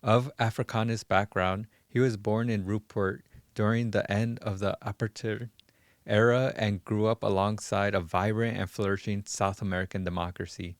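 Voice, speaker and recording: A man's voice: on the low side, steady tone, moderate pace, no room sound, studio quality. A man hosting an interview podcast, presenting his guest and posing questions. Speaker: podcast host. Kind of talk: scripted introduction and interview questions.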